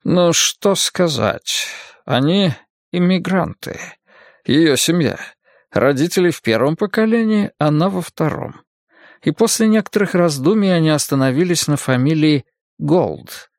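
A man's voice: a little high, lively intonation, no echo, studio quality, voice pushed up. Man putting on a drawling, ironic spymaster. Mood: hesitant. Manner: drawling and ironic, slow and lofty.

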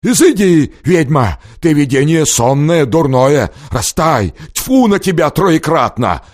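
A man's voice: fairly high pitch, sing-song, takes it slowly, dry recording, studio quality, voice pushed up, heavily acted. A man voicing a bearded old wanderer who rants and curses a visitor as a witch. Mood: fanatical ranting. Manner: ranting, exorcising. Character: wild bearded old holy wanderer, fanatical.

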